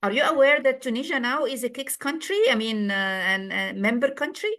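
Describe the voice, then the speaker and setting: A woman's voice: on the high side, a little fast, fairly bright tone. A woman moderating an informal online meeting in a polite, conversational way.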